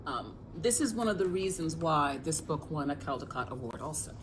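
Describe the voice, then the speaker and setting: A woman's voice: sits low, expressive, moderate pace, background noise. An American woman reading a picture book aloud as a children's librarian, expressively, speaking directly to young listeners.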